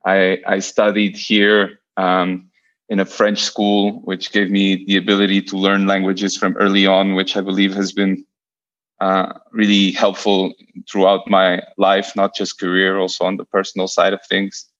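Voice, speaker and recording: Podcast guest, male, 30s, from Portugal; deep, flat delivery, stop-start phrasing, lo-fi remote recording. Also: measured pace. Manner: hesitant, many repeated starts. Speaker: guest, venture investor. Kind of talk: podcast interview.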